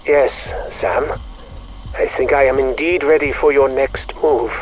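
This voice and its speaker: An actor playing a patient but suspicious scientist in a video game, formal and measured. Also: male voice, mid-range voice, fairly even intonation, faint music behind, natural voice, rough recording. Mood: polite, patient. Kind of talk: video game audio log acting.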